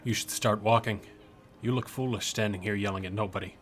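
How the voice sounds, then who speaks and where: A man's voice: on the low side, fairly even intonation, brisk, background noise, close to an everyday voice. A man playing an aloof, detached Grim Reaper in an audio drama, speaking in terse, matter-of-fact lines. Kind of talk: scripted audio drama acting.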